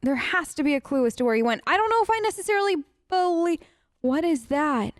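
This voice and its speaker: Woman playing thru an rpg, high voice, chatty, questions everything, dry close mic. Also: animated, fairly quick, no echo. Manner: skeptical, chatty, playfully questioning. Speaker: let's play host. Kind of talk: casual role-play game commentary, improvised in-character dialogue. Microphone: close, dry microphone.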